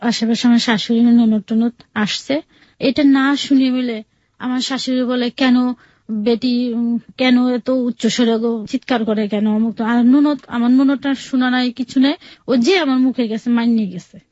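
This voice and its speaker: A woman with a Bangladeshi Bengali accent and a fairly high voice recounts her life as an interview guest. She talks plainly and conversationally, quoting people as she goes. The sound is close and dry, though somewhat dull. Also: steady tone, normal speed, a little mellow, dry recording. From Bangladesh, outside Sylhet. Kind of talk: personal storytelling in a radio interview.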